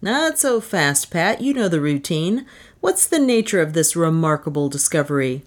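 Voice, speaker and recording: A woman's voice: deep voice, big pitch swings, moderate pace. A woman voicing a skeptical, wisecracking male federal office boss in a storybook, dry and scoffing. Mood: doubtful.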